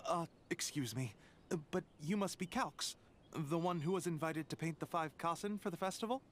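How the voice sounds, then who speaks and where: A man's voice: a little high, moderate pace, a little hiss or hum, natural voice. A man playing a polite, attentive publishing employee in a video game. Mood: polite, businesslike. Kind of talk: video game cutscene dialogue.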